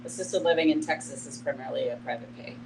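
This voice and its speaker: A woman facilitating a group discussion, explaining things informally in halting, piece-by-piece phrases. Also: very deep voice. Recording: clear background noise and hum.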